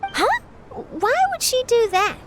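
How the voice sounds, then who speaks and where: A woman's voice: very high-pitched, sing-song, slow pace, heavy background noise, exaggerated voice. An actress voicing a cheerful, curious young woman traveling with the group, in a game cutscene. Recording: game cutscene with loud music.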